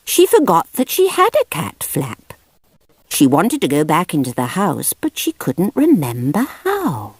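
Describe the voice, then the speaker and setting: A woman narrating a children's picture book aloud in an expressive storytelling manner. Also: low voice, a little slow, husky.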